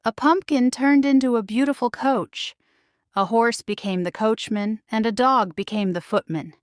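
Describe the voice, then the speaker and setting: A woman narrating a children's storybook in a measured, expressive, scripted read-aloud style. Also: on the high side, dry recording, studio quality. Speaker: storybook reader.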